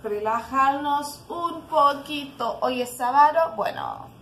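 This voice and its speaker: Slow-talking Haitian woman, tired from exercise. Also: sits high, fairly bright tone. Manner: slow, breathy puffing between exercise cues. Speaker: fitness vlogger. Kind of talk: casual home workout instruction. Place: living room at home. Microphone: camera on the floor nearby. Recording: echoey room with background noise.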